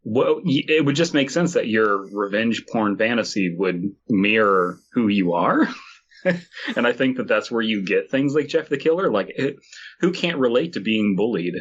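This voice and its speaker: A man's voice: fairly low pitch, animated, moderate pace, breathy edge. Joking man on a lo-fi podcast. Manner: joking, rambling with many fillers. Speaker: podcast co-host. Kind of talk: casual comedic chat.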